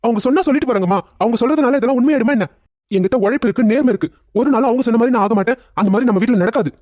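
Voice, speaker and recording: A man's voice: extremely high pitch, very fast, a little mellow, no room sound. A man playing a grown son talking with his mother, acted in the manner of a drama serial. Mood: earnest, consoling.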